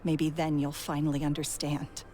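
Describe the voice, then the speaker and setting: A woman playing a bitter, proud, calculating female villain in a video game, speaking in a deliberate, brooding way. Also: low-pitched, flat delivery, mellow, faint music behind, lightly acted. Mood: cold, taunting.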